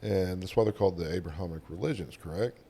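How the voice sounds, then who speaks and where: A man from Texas speaks in a low, deep voice. His Southern drawl is heavy and runs his words together. He talks casually, as a guest in a podcast conversation. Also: fast talker, vocal fry, warm tone, husky. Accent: Texan Southern. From Texas, United States. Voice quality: slurred drawl.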